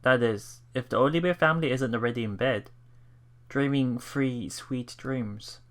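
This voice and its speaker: A man hosting a let's play, reading visual novel text aloud and commenting in a halting, thinking-aloud manner. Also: medium pitch, fairly bright tone. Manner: halting, thinking aloud between read passages.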